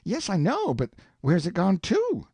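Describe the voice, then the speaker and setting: Man putting on a squeaky stubborn country kid, all worked up, dry mic. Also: wildly swooping pitch, unhurried, dark tone, husky, no echo, heavily acted. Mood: indignant. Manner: indignant, rustic boyish dialect. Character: boy, stubborn and indignant.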